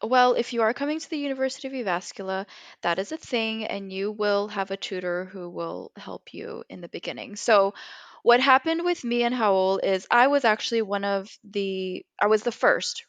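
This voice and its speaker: An American woman hosts a podcast as a casual chat. Her voice sits at a middling pitch and is recorded dry, with very little room sound. She talks conversationally, as to someone she knows. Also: moderate pace, dry recording. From California, USA. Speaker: podcast co-host.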